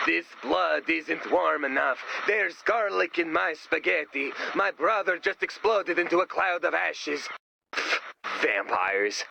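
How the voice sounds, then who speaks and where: Casting: excitable game sidekick, very high and swooping, flippant and theatrical. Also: male voice, slow pace, gravelly, heavily acted, poor audio. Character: goofy, excitable, deadpan-joking male sidekick. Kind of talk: video game voice lines.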